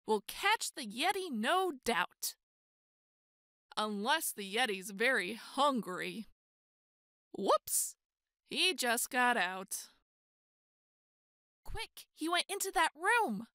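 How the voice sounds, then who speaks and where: Very animated, high-voiced woman reading a storybook. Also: no echo, studio quality. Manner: expressive, exclaiming, drawn-out emphasis. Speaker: storyteller reading a children's picture book aloud. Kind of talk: animated children's read-aloud.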